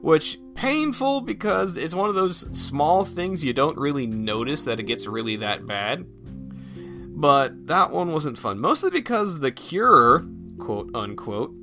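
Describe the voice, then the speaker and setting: A man talking casually on his own as a podcast host, thinking aloud in a folksy, self-effacing way. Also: a little high. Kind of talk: casual solo rambling chat.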